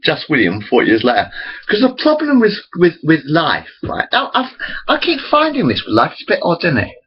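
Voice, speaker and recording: A man's voice: fairly high pitch, wildly swooping pitch, a little fast, muffled recording, slight background noise. A man in his forties rambling chattily to his webcam, interrupting himself often. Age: 40s. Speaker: vlogger. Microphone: built-in computer camera mic.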